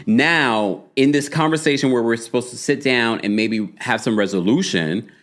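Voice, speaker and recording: A man hosting a casual live recap of a reality show, gossipy and animated, with quick asides to his chat. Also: medium pitch.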